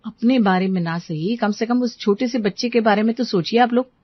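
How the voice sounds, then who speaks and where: A woman's voice: fairly high pitch, fairly quick, no echo, highs cut off, lightly acted. A woman voicing a strict, fed-up young daughter-in-law, firm and lecturing, in a family story.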